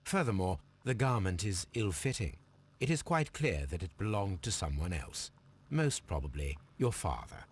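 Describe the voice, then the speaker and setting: An actor playing a brilliant, precise English gentleman detective in a video game, speaking formally and coolly. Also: low-pitched, big pitch swings, breathy edge, full character voice. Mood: coolly analytical. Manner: formal, measured, coolly deductive delivery. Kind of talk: scripted video game dialogue.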